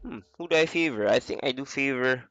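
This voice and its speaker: A young man with the flu, casually commentating a video game on his own, with a lot of filler pauses. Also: mid-range voice, a bit bright, no room sound, dull audio. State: sick with flu. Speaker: esports caster.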